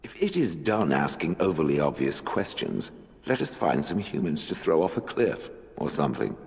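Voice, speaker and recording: An actor playing a haughty giant being with a gravelly, resounding voice and a deadpan, pompous, formal delivery. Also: male voice, very deep voice, moderate pace, a little hiss or hum, full character voice. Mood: dry disdain. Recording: long cavernous reverb, faint music.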